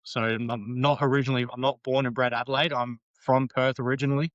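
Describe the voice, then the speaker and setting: Australian man with a smooth, flat voice. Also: mid-range voice, fast, no room sound, highs cut off. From Western Australia. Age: 30s. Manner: rambling, frequent ums and restarts. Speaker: guest. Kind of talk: casual podcast chat.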